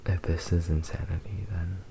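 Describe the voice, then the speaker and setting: A man playing a possessive, devoted young fox spirit lover in a breathy, soothing whispered ASMR roleplay. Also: low-pitched, little pitch movement, mellow, a little hiss or hum. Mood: devoted, adoring. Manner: slow, tender, soothing, intimate.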